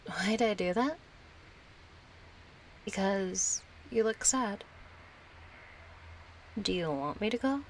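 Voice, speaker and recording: A woman's voice: medium pitch, slight background noise. A woman acting a kind, chatty young friend in an intimate audio roleplay, casual, playful and a little hesitant. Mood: soft, tender. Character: kind, chatty young female friend, gently yearning for closeness.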